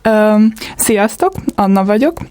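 A woman's voice: mid-range voice, lively intonation, a little slow, mellow, clean tone, dry recording. Hesitant Hungarian-accented woman on radio. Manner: hesitant, with many fillers. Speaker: radio co-moderator. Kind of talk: casual radio intro.

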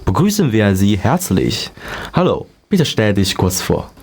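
A man's voice: lowish voice, big pitch swings, measured pace, creaky, a little mellow, husky. A man hosting a student talk show, reading polite scripted questions and giving short acknowledgements. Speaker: student host.

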